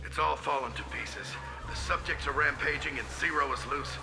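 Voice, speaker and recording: A man's voice: a little high, moderate pace, noisy background, lightly acted. An actor playing a cold male scientist recording a log in a video game. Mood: alarmed. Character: cold male scientist logging a failing secret experiment.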